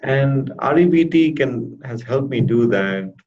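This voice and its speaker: A man with a stutter giving a halting, deliberate personal testimonial as a therapy client in an interview. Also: medium pitch, expressive, darkish tone. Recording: dull lo-fi audio.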